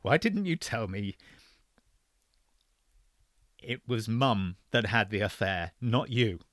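An earnest adult son speaks directly and plainly, as a man reads him. The voice is slow and dry, close to the mic. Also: on the low side, big pitch swings, fairly bright tone, no room sound, heavily acted.

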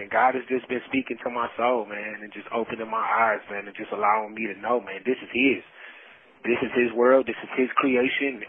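Man testifying over a muffled phone line. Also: medium pitch. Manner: preachy, repeats phrases for emphasis. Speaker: incarcerated storyteller. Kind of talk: impassioned testimony in casual conversation. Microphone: phone call.

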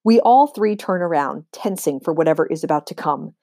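Animated woman reading a children's story. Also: sits low, fairly quick, dry recording, studio quality. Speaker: read-aloud reader. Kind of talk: expressive read-aloud storytelling of a children's novel.

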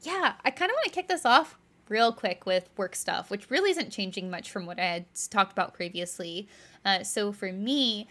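A woman's voice: medium pitch, animated, moderate pace, fairly bright tone. Chatty woman podcast co-host. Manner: chatty, rambling, laughs lightly, uses "like" a lot. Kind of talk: casual chat.

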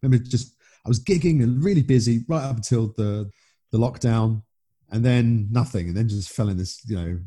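Chatty man, dark husky voice. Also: medium pitch, fairly quick. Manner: chatty, self-mocking, stammering restarts. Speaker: comedian and actor, host. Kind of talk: casual interview chat.

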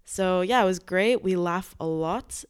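A woman hosts a podcast, opening the episode and then interviewing her guest in a friendly, relaxed manner. Her voice is smooth and clear and sits slightly low. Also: measured pace. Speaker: podcast host.

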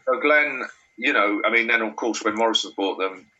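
A man's voice: fairly low pitch, moderate pace, bright, forceful tone, lo-fi sound. A man with a North Welsh accent, a beer writer chatting casually and rambling through anecdotes with many restarts. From Wales. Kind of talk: casual chat.

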